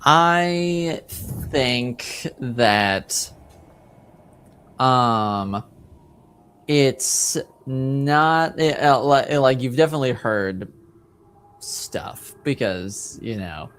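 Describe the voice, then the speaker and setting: Slow-talking man with a clear, smooth voice. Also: medium pitch, expressive, fairly bright tone. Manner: halting, searching for words. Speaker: game master. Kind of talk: improvised tabletop game narration.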